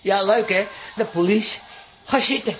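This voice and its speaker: An actor playing a chatty, slightly flustered hairdresser in a Dutch radio comedy, hesitant and repeating words. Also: male voice, sits high, measured pace, soft background music.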